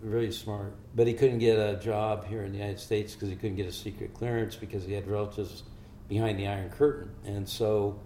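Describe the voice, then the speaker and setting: An older man from Hungary, a physician, giving measured, explanatory answers in an interview. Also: fairly low pitch, mellow, slight background noise. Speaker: physician guest. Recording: echoey room with faint ambience.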